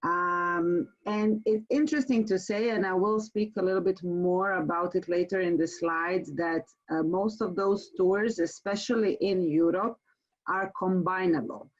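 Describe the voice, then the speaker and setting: A woman from Croatia with a Croatian accent gives a webinar sales presentation as a tour company presenter, speaking haltingly with frequent pauses. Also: on the low side, steady tone. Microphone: online webinar call. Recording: muffled, band-limited webinar call audio.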